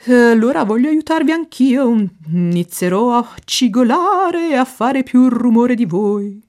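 A fussy creaky kitchen window complains in a high, theatrical voice, as a woman puts her on. Her pitch swoops around in dramatic storybook fashion. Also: expressive, normal speed, a little mellow, natural voice. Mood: complaining.

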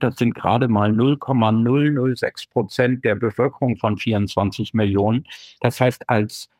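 A man who researches groups, answering interview questions in a measured, academic way and building his points step by step. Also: medium pitch, darkish tone, no room sound. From Germany. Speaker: researcher guest.

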